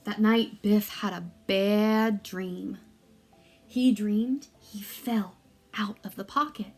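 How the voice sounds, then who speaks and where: A woman reading a children's story aloud expressively, with deliberate, dramatic emphasis for young listeners. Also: mid-range voice, a little mellow.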